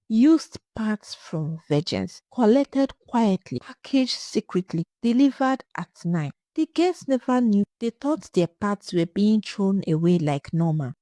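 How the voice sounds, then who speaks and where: A woman narrating a scripted folktale in a dramatic, suspenseful way, with short measured phrases. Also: mid-range voice, dark tone, dry recording. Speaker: storyteller.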